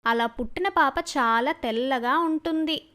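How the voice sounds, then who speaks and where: A woman's voice: high voice, unhurried, sharp tone. A woman reading a scripted children's story as its narrator.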